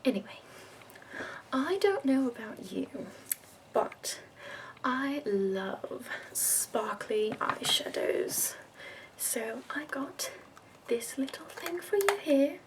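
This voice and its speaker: Prim fussy capital makeup lady, as a woman puts her on, chirpy high whispers, asmr roleplay. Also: sing-song, slow pace, pauses often, full character voice, low-quality recording. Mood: prim, soothing. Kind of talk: soft-spoken ASMR roleplay.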